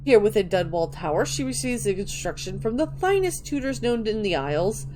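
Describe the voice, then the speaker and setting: A woman's voice: mid-range voice, big pitch swings, normal speed. A woman giving a theatrical dramatic reading of video game texts, stumbling over words and restarting now and then.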